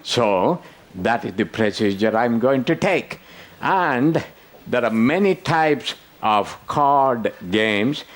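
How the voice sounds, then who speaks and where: Older guy running a workshop, slow talker, cracks jokes at the crowd. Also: mid-range voice. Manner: joking, teasing the audience. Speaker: workshop presenter. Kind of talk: interactive conference workshop talk.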